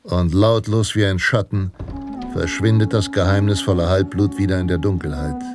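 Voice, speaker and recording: An actor playing a solemn, grandiose narrator of pulp Western adventures in a radio play, telling it with suspense. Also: male voice, deep voice, steady tone, moderate pace, close to an everyday voice. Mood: mysterious, suspenseful. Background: guitar music.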